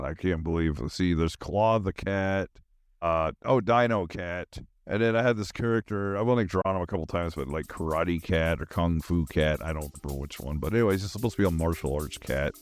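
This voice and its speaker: A man's voice: deep voice, clean tone, no room sound, studio quality. A man hosting a podcast alone, chatting casually in a scattered, self-deprecating, informal way. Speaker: podcast host. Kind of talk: rambling casual solo chat.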